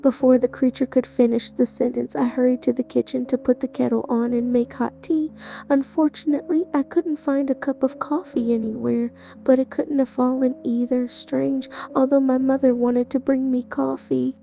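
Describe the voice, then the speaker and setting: A woman with a high, gravelly voice narrates a first-person horror story. Her tone is mellow and earnest, and soft music plays underneath. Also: little pitch movement, moderate pace, highs cut off. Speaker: narrator and lead character.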